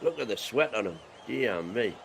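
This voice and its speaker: A man's voice: fairly low pitch, expressive, moderate pace, creaky. Co-host: middle-aged man, dry jokes, a little noise under the mic. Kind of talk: casual match chat.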